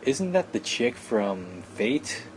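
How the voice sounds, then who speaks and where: A man's voice: mid-range voice, sing-song, takes it slowly, a little hiss or hum, low-quality recording. A man hosting a let's play, reading a visual novel aloud with sardonic, casual asides. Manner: sardonic asides, breaking off to joke.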